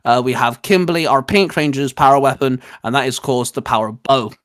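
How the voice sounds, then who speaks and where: A man's voice: medium pitch, fairly quick, fairly bright tone, dry recording, studio quality. A man hosting a casual review chat, talking like an opinionated, emphatic fan. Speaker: host.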